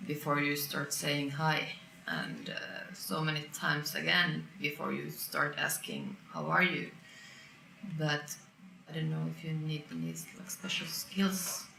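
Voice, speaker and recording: Guy chatting real slow, higher creaky voice, echoey room. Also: lively intonation, sharp tone. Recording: echoey room, faint noise.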